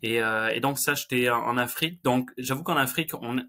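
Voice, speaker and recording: A man's voice: medium pitch, a little fast, pushed and bright. A man from France, the guest on a podcast over a video call, talking with many hesitations and some self-mocking humor. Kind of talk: conversational interview.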